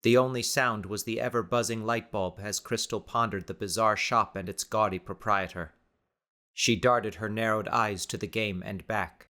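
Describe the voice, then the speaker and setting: A man's voice: fairly low pitch, fairly even intonation, moderate pace, bright, forceful tone. A man reading scripted, dramatic story narration as an audiobook narrator.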